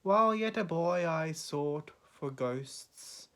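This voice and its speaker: A man reciting poetry in a solemn, measured, dramatic way, with deliberate pauses between phrases. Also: fairly high pitch, expressive, fairly bright tone, clear, resonant tone. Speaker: poetry reader.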